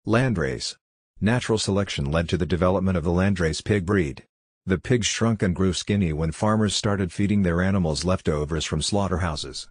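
A man narrating a scripted farming guide in a steady, even reading voice. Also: low voice, moderate pace, husky, no room sound, clean studio sound.

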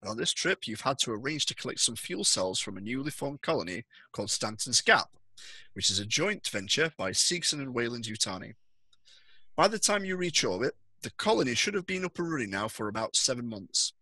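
A young man acts as game master for a tabletop roleplaying session. His voice sits at a middle pitch with a bright edge and a rough, husky grain. The sound is dry, with very little room. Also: very animated, fairly quick, no echo. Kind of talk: narrating a tabletop roleplaying scenario. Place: indoor room on a video call.

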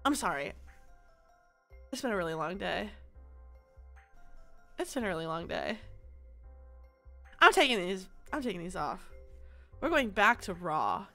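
A young woman streaming casually, with a stuffy nose from allergies, loud and prone to sudden screaming. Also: mid-range voice, very animated, a little slow, creaky, pauses often, soft background music. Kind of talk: casual livestream chat.

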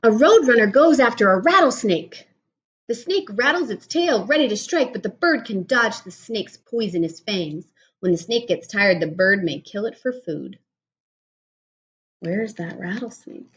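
A woman's voice: on the high side, expressive, unhurried, muffled recording. A woman in her thirties reading a picture book aloud to a young child in a home kitchen, explaining things patiently in simple words, recorded on a webcam at the table. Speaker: speech therapist reading to a child. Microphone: laptop or webcam at table distance.

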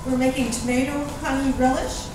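A woman's voice: sits high, flat delivery, fairly quick. A woman asking casual questions as a participant in a cooking class. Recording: very echoey, distant mic, music behind.

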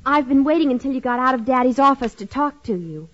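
A woman's voice: high-pitched, lively intonation, fast talker, fairly bright tone. An actress playing an eager, pleading, melodramatic American schoolgirl in 1950s radio comedy style. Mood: pleading, dramatic. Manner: gushing, dramatic. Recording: dull band-limited 1950s radio recording.

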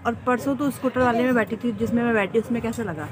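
A woman's voice: a little high, steady tone, fast talker, very noisy. A young woman vlogger chatting casually outdoors by a lake, filming herself on a phone at arm's length and asking a child playful questions. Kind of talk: casual vlog chat. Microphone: phone front camera at arm's length. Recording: background music over outdoor phone audio.

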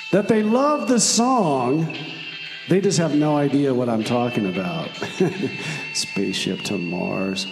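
A man playing a cocky, chatty, upbeat time traveler in a comedy radio play. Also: fairly high pitch. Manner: upbeat, self-assured, chatty. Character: breezy, cocky male time traveler and would-be musician. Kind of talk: comedy radio theater monologue. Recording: echoey, long reverb. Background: music.

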